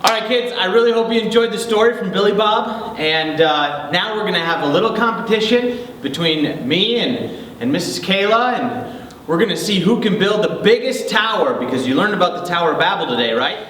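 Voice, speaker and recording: A man's voice: high-pitched, lively intonation, a little fast, a bit bright. A man hosting a children's program, casually explaining things to kids. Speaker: children's ministry host. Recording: echoey room, distant mic, faint noise.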